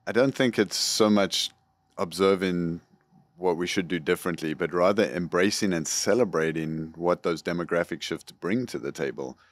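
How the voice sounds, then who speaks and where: A middle-aged man, a school superintendent, giving earnest, measured interview answers into a close studio boom mic. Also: dry recording. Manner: earnest, measured, repeats words when starting. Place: podcast studio room.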